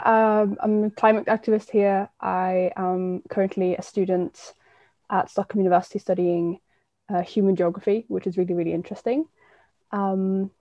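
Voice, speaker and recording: A young woman in her twenties with an English accent speaks as an interview guest. Her voice is mellow and warm, and the recording is dry and close. She talks in a measured, thoughtful way, stopping on "um" and "uh" as she goes. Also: mid-range voice, dry recording, lo-fi sound. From Sweden. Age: 20s. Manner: measured, thoughtful, with frequent fillers. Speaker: guest, climate activist. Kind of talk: casual interview chat.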